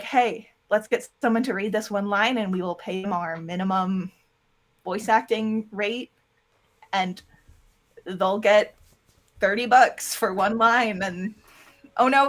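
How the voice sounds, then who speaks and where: A woman gives a casual interview as a producer and voice actor. Her voice sits at a middle pitch. She explains things in a loose, rambling way, dropping in quoted asides as she goes. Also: fairly bright tone. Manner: rambling, with self-quoting asides. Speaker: interview guest, audio drama producer and voice actor.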